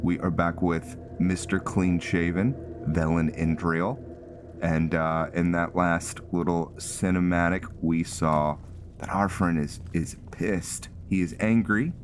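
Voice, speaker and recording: Deep-voiced, unhurried man doing gameplay commentary. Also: steady tone, soft background music. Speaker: gaming video host. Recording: clear game ambience behind voice. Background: game sounds.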